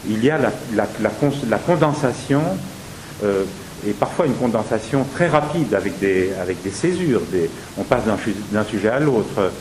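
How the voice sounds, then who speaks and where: A man's voice: lowish voice, lively intonation, moderate pace. A man, an actor, talking through his theatre work with many restarts and hesitations. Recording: hissy recording.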